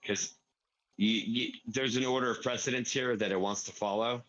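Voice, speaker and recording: A man's voice: mid-range voice, steady tone, moderate pace, a bit bright, rough recording. A man explaining a game build casually, step by step, thinking aloud as he plays. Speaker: player explaining a farm build. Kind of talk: casual gaming tutorial.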